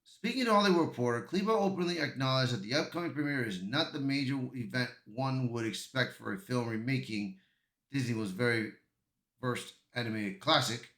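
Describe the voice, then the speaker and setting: An echoey room surrounds this man's voice, which sits at an ordinary middle pitch. He works through amateur news commentary as a host, reading aloud and reacting, often tripping over words and starting them again. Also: fairly bright tone. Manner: halting, stumbling delivery with repeated words. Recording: echoey, roomy sound.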